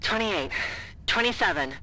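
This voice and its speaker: Tense woman agent, audio drama, medium pitch, very varied intonation, slight background noise. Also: animated, moderate pace, pushed and bright, low-quality recording. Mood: tense, resolved. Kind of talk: scripted audio drama acting.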